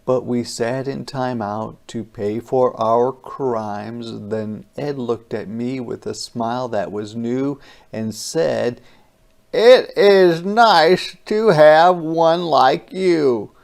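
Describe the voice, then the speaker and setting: A storybook reader with a very slow, deliberate delivery. The voice is creaky and mellow, giving a rhyming children's tale theatrical phrasing. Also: medium pitch. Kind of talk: dramatic rhyming children's read-aloud.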